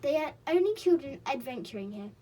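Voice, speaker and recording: A woman's voice: high voice, lively intonation, brisk, dark tone, recorded from a distance, a little hiss or hum, pitched above the performer's own voice. Woman doing a nervous little pixie. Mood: knowing. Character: nervous clever male pixie, small and sly.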